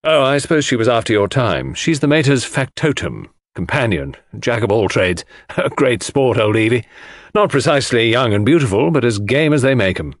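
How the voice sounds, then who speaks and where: A man voicing an exasperated, outspoken English country gentleman with slangy upper-class talk in an audiobook. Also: mid-range voice, big pitch swings, moderate pace, no room sound, clean studio sound. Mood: breezy. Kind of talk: audiobook character dialogue.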